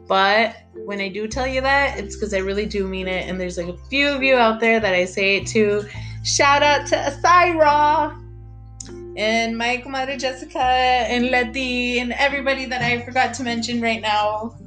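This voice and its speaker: A woman in her thirties hosting a podcast in a casual monologue, talking fast and excitedly, stretching her words, swearing often and dropping Spanish phrases into her talk. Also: on the high side, faint music behind. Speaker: podcast host.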